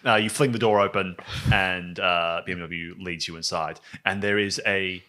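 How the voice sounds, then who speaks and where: A man working as a game master, improvising comedic tabletop narration in an informal, jokey, halting way. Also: sits low, steady tone, bright, forceful tone.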